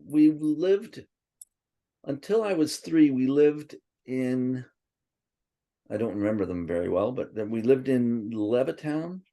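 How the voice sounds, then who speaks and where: A man's voice: mid-range voice, animated, takes it slowly, dark tone. A man being interviewed, reminiscing casually and rambling with frequent restarts and asides, who grew up speaking Swedish first. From born in Princeton, raised partly in Tokyo.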